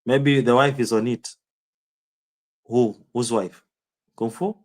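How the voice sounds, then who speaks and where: A man's voice: mid-range voice, fairly even intonation, slow pace. A man with a Kenyan accent streaming live, rambling in casual commentary and answering viewers' chat comments by name.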